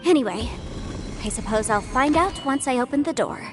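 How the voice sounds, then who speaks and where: An earnest young legal advisor. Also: female voice, high-pitched, expressive, normal speed. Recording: game audio with music. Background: game music.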